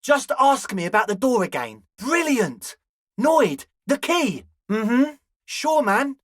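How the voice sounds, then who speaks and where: A man's voice: very high voice, sing-song, slow pace, a bit bright, dry recording, clean studio sound, heavily acted. A man voicing an eager, flaky young male slacker ringleader in a video game, talking in an earnest, ingratiating, hedging way. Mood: eager, agreeable. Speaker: voice actor. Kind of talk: video game character dialogue.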